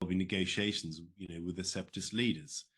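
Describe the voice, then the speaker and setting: A British man speaks in a low register as an interview guest. His talk is spontaneous and hedged with small filler phrases. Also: fairly even intonation, moderate pace, a bit bright, breathy edge. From United Kingdom. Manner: hedging with frequent "you know". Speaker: interview guest, former diplomat.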